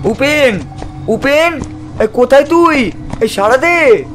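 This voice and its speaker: A man's voice: extremely high pitch, lively intonation, takes it slowly, music in the background, exaggerated voice. A man playing an anxious young village son in an audio story. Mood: frightened, urgent.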